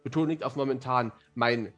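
A man's voice: mid-range voice, fairly quick, a bit bright. A man, talking at length in a casual interview and explaining things as he goes. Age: 30s. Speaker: fantasy author, podcast guest.

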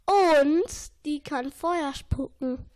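Woman playing a high-voiced little earworm, echoey. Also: drawn-out words, distant mic, very noisy. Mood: unsure, musing. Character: lost little earworm, sick, hesitant but chatty storyteller. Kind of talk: children's radio play acting. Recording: roomy echo, off the mic, music behind.

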